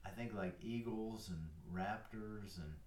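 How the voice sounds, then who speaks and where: Older man, low voice, roomy sound. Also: measured pace, a little mellow, recorded from a distance. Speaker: guest, retired airline pilot. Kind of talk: casual chat.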